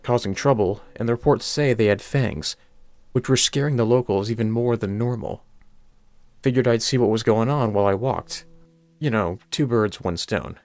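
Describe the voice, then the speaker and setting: A man's voice: lowish voice, moderate pace, a little mellow, dry recording, lightly acted. A man playing a wry, unruffled supernatural cop in a horror audio story, speaking in a dry, casual manner. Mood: casually pointed.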